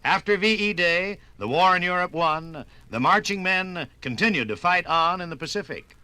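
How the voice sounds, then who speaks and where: Man narrating 1950s radio doc, kinda high, lively, stirring. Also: moderate pace, a little hiss or hum. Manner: stirring, emphatic delivery.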